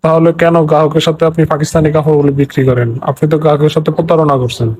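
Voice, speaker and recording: A man acts as a stern cartoon government officer. His voice is mellow and slightly high for a man, and he delivers formal, firm statements very quickly. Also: fairly even intonation, no echo, natural voice. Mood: stern, official. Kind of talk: comic cartoon dialogue.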